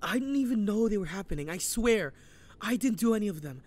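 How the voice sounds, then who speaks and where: Woman playing a husky, volatile male serial killer. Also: medium pitch, expressive, brisk, darkish tone, natural voice. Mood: terrified, pleading. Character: captured male serial killer, evasive, taunting yet easily terrified. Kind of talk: scripted audio roleplay acting.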